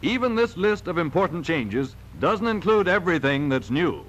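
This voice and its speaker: Male 1940s industrial-film narrator: highish voice, very animated intonation, emphatic polished sales read, vintage soundtrack. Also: moderate pace, low-quality recording.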